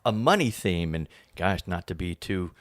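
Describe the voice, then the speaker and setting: A man with a lowish voice gives a casual, explanatory interview as an actor. He talks haltingly, feeling around for the right words. The recording is dry and close. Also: animated, dry recording.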